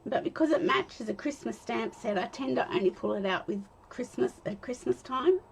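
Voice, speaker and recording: Croaky-voiced Australian woman, chatty crafter. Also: on the high side, lively intonation, moderate pace, a little hiss or hum, poor audio. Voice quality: slightly croaky. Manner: rambling, self-talk with soft laughs. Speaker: card-making demonstrator.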